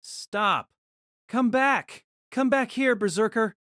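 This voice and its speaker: A man's voice: extremely high pitch, slow pace, sharp tone, no room sound, clean studio sound, pitched above the performer's own voice, exaggerated voice. A man voicing a tormented, bitter young male mage in an audio drama, his speech strained and gloating, raised well above his own narrating voice. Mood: panicked.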